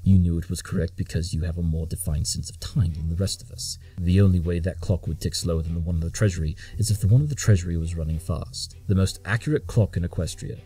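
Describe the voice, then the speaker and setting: A man's voice: sits low, quick pace, hardly any pauses, dark tone, slightly rough. An actor playing a brilliant, imperious detective in an audio drama, condescending and impatient as he lays out deductions. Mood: smug, explaining. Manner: clipped deductive monologues, condescending.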